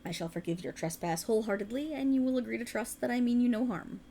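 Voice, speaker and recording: Creaky, coaxing ancient faerie woman, acted by a woman. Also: on the high side, expressive, fast talker, warm tone, slight background noise. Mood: courtly, enticing. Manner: soothing, formal archaic phrasing, coaxing. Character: ancient alluring female faerie, tender yet sinisterly possessive. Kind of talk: scripted ASMR roleplay.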